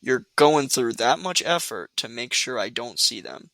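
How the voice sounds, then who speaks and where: A man's voice: medium pitch, lively intonation, moderate pace, gravelly, bright, forceful tone. An actor playing a wry young man with dry, teasing humor who looks after his sick date in a radio play.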